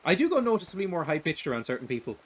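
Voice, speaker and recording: A young man in his twenties with a light, naturally high voice, rambling and laughing at himself while he streams a video game. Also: very animated, racing, a bit bright, slight background noise. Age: 20s. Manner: rambling and self-deprecating, laughs often. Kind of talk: casual chat while playing a video game.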